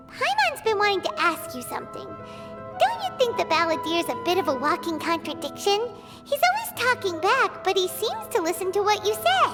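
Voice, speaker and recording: A woman voicing a tiny excitable girl companion creature who speaks of herself in the third person, in a video game scene. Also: full character voice. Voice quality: squeaky high voice. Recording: loud game music under voice. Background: game background music.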